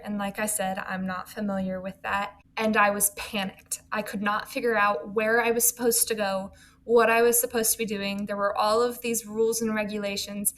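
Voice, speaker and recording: A woman's voice: mid-range voice, a bit bright, slight background noise. Earnest young woman, composed and reflective. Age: young adult. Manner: composed, earnest, full sentences. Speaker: college student guest. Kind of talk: reflective conversation.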